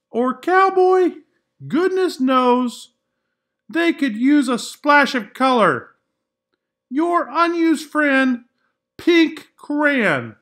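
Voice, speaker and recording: A high, sassy pink crayon from a picture book, acted out by a man. It scolds in an indignant, demanding way, leaning hard on its words with slow, drawn-out delivery. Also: a bit bright, heavily acted. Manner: scolding, emphatic. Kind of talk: children's picture book read-aloud.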